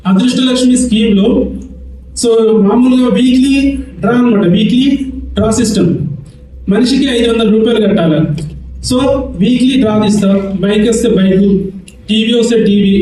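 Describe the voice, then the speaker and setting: A man's voice: extremely high pitch, mellow. A man giving a motivational speech from personal experience, repeating phrases and linking his sentences as he goes. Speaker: motivational business speaker. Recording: roomy, distant mic, faint noise.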